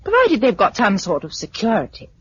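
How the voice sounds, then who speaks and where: A woman's voice: mid-range voice, huge pitch swings, a little fast, breathy edge, no room sound. An actress playing a breezy, pragmatic, teasing British woman survivor in 1950s radio drama dialogue. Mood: playful, pragmatic. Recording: muffled, noisy 1950s radio recording.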